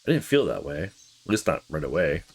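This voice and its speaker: A man voicing a troubled, candid young visual novel character who confides past heartbreak in a halting, confessional way. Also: rumbling low, very animated, slightly rough, pitched below the performer's own voice, heavily acted. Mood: heavy, vulnerable.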